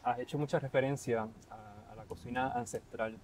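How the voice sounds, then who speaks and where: A man in his fifties asking interview questions in a measured way, recorded with a lapel mic on an outdoor patio. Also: mid-range voice, fairly even intonation, low-quality recording.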